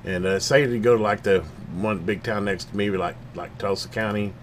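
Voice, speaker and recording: A man's voice: lowish voice, normal speed, slight background noise. A man giving a casual, unscripted product demonstration in a rambling, folksy way, thinking aloud as he goes. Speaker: scanner hobbyist giving a product overview.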